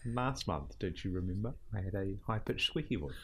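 A man's voice: deep voice, lively intonation, a little fast, a bit bright, slight background noise. A man co-hosting a podcast in casual chat, with dry, wry remarks. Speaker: podcast co-host.

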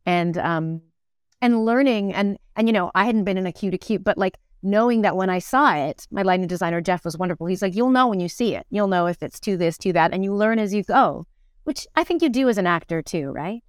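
A woman's voice: mid-range voice, expressive, a little fast, clear, resonant tone, no echo, clean studio sound. A middle-aged woman talking casually and reflectively as an interview guest, searching for words and often checking in with "right?". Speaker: guest, actor, director and acting teacher.

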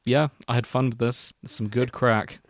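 A man's voice: mid-range voice, level tone, moderate pace, no room sound. A man hosting a casual comedy chat with friends in a wry, jokey way. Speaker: podcast host.

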